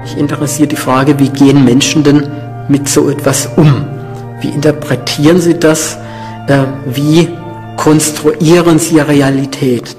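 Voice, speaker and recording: A man's voice: fairly high pitch, flat delivery, unhurried, a little mellow, faint music behind. A man speaking as an interviewed social scientist, explaining in an academic, reflective way.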